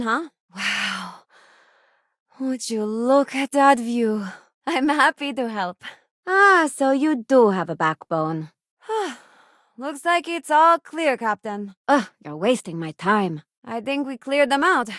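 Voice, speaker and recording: A woman's voice: on the high side, expressive, slow, fairly bright tone, dry recording, clean studio sound, exaggerated voice. An actress playing an earnest, principled young working-class spaceship crew woman in a video game, frank and conversational. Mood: frank, casual. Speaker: video game companion character. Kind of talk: video game voice acting, short character lines.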